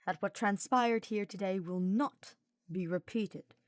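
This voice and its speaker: A woman voicing a brooding, reserved male dark mage in a fantasy audiobook, speaking formally and grudgingly. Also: mid-range voice, unhurried, no room sound, studio quality, close to an everyday voice.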